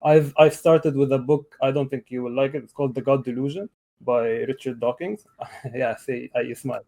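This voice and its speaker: A man in his early thirties with a soft, mellow tone and a medium pitch. He talks conversationally as a guest in a debate, hesitating and pausing as he puts his thoughts together. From Lebanon. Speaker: guest caller, atheist debater. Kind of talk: conversational debate on a live call.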